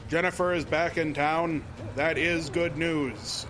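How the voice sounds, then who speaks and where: Formal stiff gentleman, slightly high voice, music behind. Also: fairly even intonation, slow. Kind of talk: audio drama acting.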